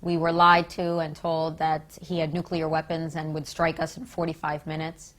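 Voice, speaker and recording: A woman's voice: sits low, monotone, normal speed, a bit bright. A woman with an American accent giving emphatic political commentary in an interview, often posing rhetorical questions. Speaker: interviewee, peace activist speaker.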